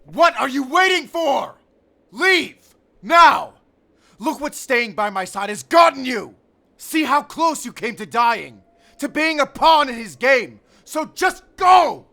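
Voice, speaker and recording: An actor playing a desperate, defiant werewolf hunter in an audio drama, intense and commanding, with a pleading edge. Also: male voice, very high voice, sing-song, slow, creaky, pushed and bright, exaggerated voice. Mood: desperate shouting. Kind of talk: cinematic audio roleplay acting.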